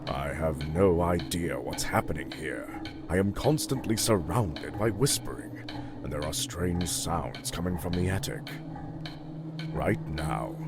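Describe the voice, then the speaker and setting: An actor playing an anxious older grandfather in a ghost story game, speaking gravely and earnestly with formal, theatrical phrasing. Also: low voice. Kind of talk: dramatic game narration and dialogue. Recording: music underneath, sometimes echoey.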